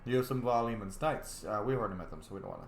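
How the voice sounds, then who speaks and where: A man's voice: mid-range voice, animated, rapid-fire, slight background noise. A man casually narrating his video game playthrough, rambling and thinking aloud as host. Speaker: host, gamer. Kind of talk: casual gaming commentary. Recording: roomy sound, faint game music.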